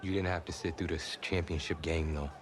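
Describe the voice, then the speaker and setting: A man's voice: rumbling low, steady tone, fairly quick, crowd noise. A man playing a proud, defensive young college quarterback in a naturalistic video game cutscene. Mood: sullen. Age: young adult. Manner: defensive, argumentative.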